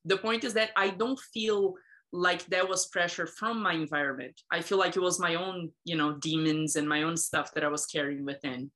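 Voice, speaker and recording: Woman in her thirties from Brazil, low-pitched, talkative, filler-heavy casual talk. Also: fairly even intonation, normal speed, fairly bright tone. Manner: chatty, laughs often, many fillers. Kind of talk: casual conversation.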